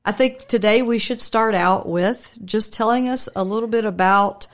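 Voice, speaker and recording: A middle-aged woman pastor hosting a radio talk show, speaking gently and unhurriedly with frequent ums. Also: mid-range voice, moderate pace.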